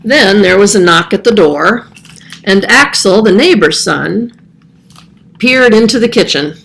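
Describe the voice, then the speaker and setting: A woman's voice: medium pitch, animated, measured pace, creaky, a bit bright, breathy edge, low-quality recording. A woman reading a children's story aloud as scripted narration. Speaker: storybook reader.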